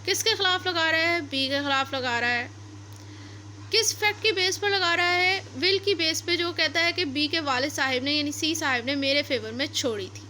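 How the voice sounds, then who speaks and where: A woman's voice: sits high, moderate pace, bright, forceful tone, slight background noise. A woman teaching law, reading passages aloud and then explaining them step by step, repeating and rephrasing her points. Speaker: law lecturer.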